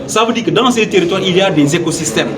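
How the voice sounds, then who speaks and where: A man's voice: sits high, expressive, quick pace. Male official, Senegalese accent, rhetorical and emphatic press-statement delivery, roomy echo, recorded away from the mic. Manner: emphatic, rhetorical, repeats phrases for weight. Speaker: director general of a local development agency. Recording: roomy, distant mic, clearly noisy surroundings.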